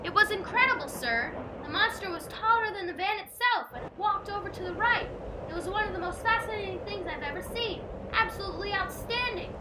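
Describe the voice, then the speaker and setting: A woman's voice: very high voice, brisk, voice pushed up. A young female reader voicing a spirited, headstrong girl adventurer in a storybook, exclamatory and emphatic. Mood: amazed. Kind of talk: storybook character voice in amateur narration. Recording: distant mic, loud steady noise.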